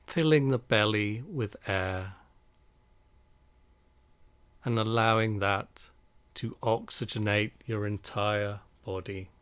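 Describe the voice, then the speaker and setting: A man leads a guided meditation in a low, smooth voice. He speaks calmly and soothingly, taking his time between phrases. The sound is dry and close, with very slow delivery. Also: dry recording. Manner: calm, soothing, unhurried with long pauses.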